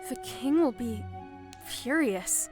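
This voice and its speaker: A woman's voice: fairly high pitch, expressive, slow pace, music in the background. A woman playing a brave, determined young hero in training in a fantasy audio drama. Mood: resolved.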